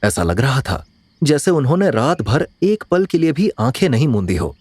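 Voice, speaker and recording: A man's voice: medium pitch, sing-song, normal speed, a little mellow, breathy edge, no room sound. A man narrating a scripted audio drama in a dramatic storytelling style.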